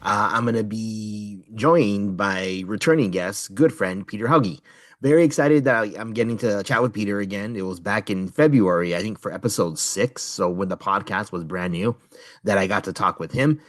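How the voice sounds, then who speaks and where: A man's voice: fairly low pitch, normal speed, dry recording. A middle-aged American man hosting a casual podcast conversation. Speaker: podcast host.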